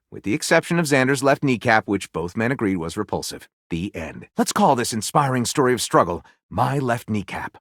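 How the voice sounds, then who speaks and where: Grandiose storyteller with swooping, animated delivery. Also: male voice, mid-range voice, no room sound, clean studio sound. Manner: grandiose, mock-solemn, flipping to gushing enthusiasm. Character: theatrical, excitable male storyteller, a datable book-loving narrator character. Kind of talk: dramatic tongue-in-cheek storybook narration.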